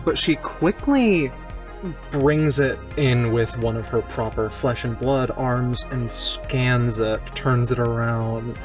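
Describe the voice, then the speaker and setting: A tabletop game player improvising narration of their own character in an informal, tentative way. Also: mid-range voice.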